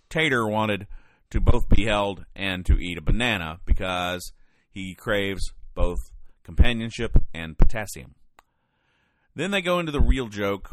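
Male podcast host, lowish voice, deadpan comic reading, dead-dry recording. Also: no echo. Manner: dry, wry, reads characters' lines aloud.

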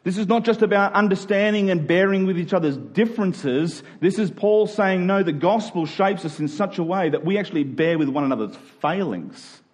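Male pastor, slightly high voice, talks a congregation through scripture conversationally with rhetorical questions. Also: normal speed, few pauses. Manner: asks rhetorical questions with check-in tags like "right?" and "okay?". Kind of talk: conversational expository sermon.

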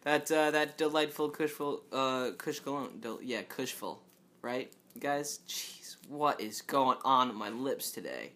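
A man hosting a casual solo podcast, rambling in a low, fairly monotone voice with many restarts and fillers, and joking asides to his listeners. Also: takes it slowly. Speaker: podcast host.

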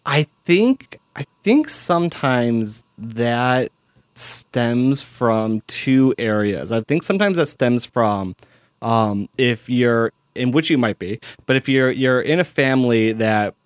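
A man's voice: medium pitch, lively intonation, takes it slowly, clean tone, dry recording, studio quality. A young man with a Chicago accent, chatting casually and rambling with lots of fillers. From American Midwest. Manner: rambling, heavy use of "like" and "um". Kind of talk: casual chat.

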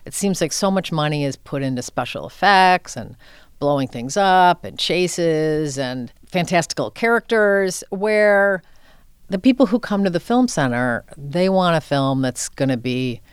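Older woman, smooth clear voice, dry recording. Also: deep voice, animated, a little slow, no room sound. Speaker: film center executive director, interview guest. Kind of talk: conversational interview.

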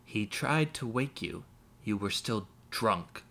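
A man voicing a stern, tired farm mother who speaks low and quietly. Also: expressive, slow, vocal fry, full character voice. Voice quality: low, quiet, hard-edged. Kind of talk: audiobook character voice.

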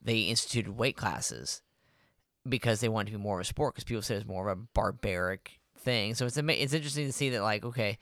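A man's voice: on the low side, quick pace, a bit bright, dry recording. Man in casual, dry-sounding podcast chat. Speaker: podcast co-host. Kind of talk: casual chat, explaining and commenting.

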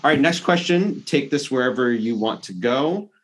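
A man hosts a casual interview, reading out questions and drifting into a story. His voice sits at an ordinary middle pitch for a man. He talks in a relaxed way, often pausing and restarting his sentences. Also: a little hiss or hum. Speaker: interviewer host.

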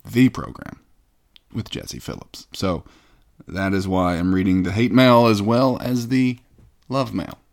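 A man's voice: lowish voice, unhurried, gravelly. A man hosting a comedic podcast, reading fan mail in a pompous, self-important, mock-indignant way. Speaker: podcast host. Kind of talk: comedic scripted podcast banter.

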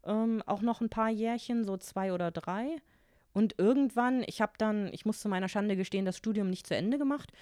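A German-speaking woman in her forties, a guest telling lively stories from her life in a casual interview and acting out her own quoted thoughts. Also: mid-range voice, normal speed, no room sound, studio quality.

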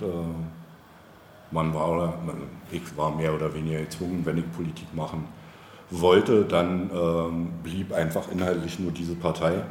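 A middle-aged man from East Germany giving reflective, conversational interview answers as a local politician, halting and searching for words. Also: sits low, a little mellow. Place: indoor room, face-to-face over coffee. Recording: echoey room with faint background noise.

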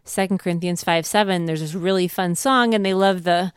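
Clear-voiced woman giving casual, earnest advice. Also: lowish voice, steady tone, fairly quick, no room sound, clean studio sound. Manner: conversational, earnest. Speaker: co-host, homeschooling mother.

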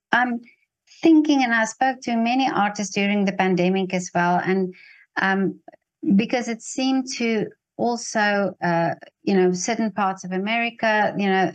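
A woman interviewing in a conversational way, working toward her questions with hesitant, roundabout phrasing. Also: mid-range voice.

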